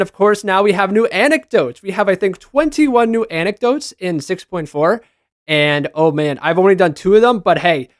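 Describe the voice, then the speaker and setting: A man's voice: a little high, dry recording, clean studio sound. A man talking casually and enthusiastically as a reviewer, with informal, gushing fan commentary on a video game.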